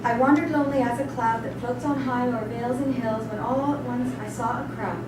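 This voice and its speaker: A woman's voice: a little high, steady tone, brisk, natural voice. A woman playing a meek, apologetic female servant, submissive and earnest, in a staged dramatic reading. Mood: eager. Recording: very echoey, distant mic, noisy room.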